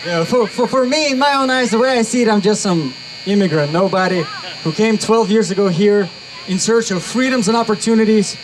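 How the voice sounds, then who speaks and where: A young man with a Russian accent addresses an outdoor rally crowd, his voice pitched high and rising to shouts as he gets worked up. Noise hangs clearly behind him throughout the speech. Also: brisk. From Uzbekistan. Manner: energetic, builds to shouts. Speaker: rally speaker. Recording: noisy outdoor crowd recording.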